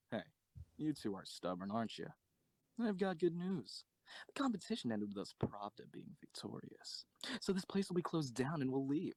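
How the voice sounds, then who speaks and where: A man's voice: medium pitch, big pitch swings, moderate pace, darkish tone, no echo. A man acting a cruel, mocking, foul-mouthed soldier executioner in an audio drama, taunting and theatrical. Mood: irritated.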